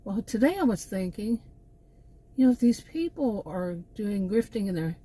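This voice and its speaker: A woman's voice: mid-range voice, expressive, normal speed, mellow, husky, rough recording. A middle-aged woman with a Texan accent, talking in a meandering opinion monologue full of asides and restarts. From Texas. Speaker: channel host.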